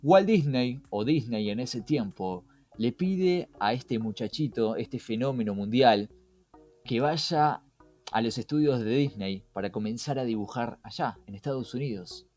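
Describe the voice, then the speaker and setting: A man's voice: mid-range voice, expressive, measured pace, a little mellow, faint music behind. A man with a Rioplatense Argentine accent co-hosting a conversational explainer podcast, often posing questions and then answering them. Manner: rhetorical questions to co-host and listener. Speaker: podcast co-host.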